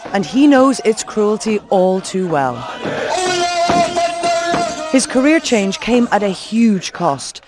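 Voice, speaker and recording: A man narrating a news documentary segment in a measured, earnest scripted style. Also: high voice, a little mellow. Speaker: news reporter. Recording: music bed under voice. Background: music.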